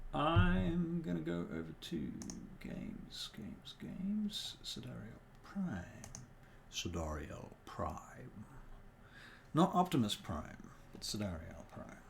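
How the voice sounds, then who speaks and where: A man's voice: a little high, lively intonation, vocal fry, slight background noise. Tired man rambling to himself on stream. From New Zealand. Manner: rambling, thinks aloud, muttering and humming to himself; deliberately speaking in a put-on non-native accent. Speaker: dungeon master and streamer. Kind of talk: casual livestream chat while working.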